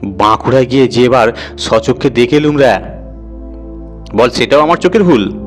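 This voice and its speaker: A man's voice: medium pitch, normal speed, faint music behind, natural voice. A man voicing a boastful, good-natured uncle who tells his ghostly tale colloquially and teasingly in an audio story. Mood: indignant, challenging.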